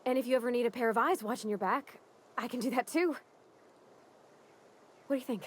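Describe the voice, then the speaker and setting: A young woman acting an eager, awkward ship mechanic in a video game, with a folksy, halting, earnest manner. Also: on the high side, natural voice. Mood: hopeful, eager. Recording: music behind the voice.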